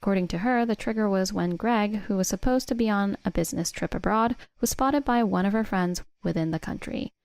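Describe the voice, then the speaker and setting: A woman reading a scripted first-person story in a measured way as its narrator. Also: medium pitch, darkish tone, no echo.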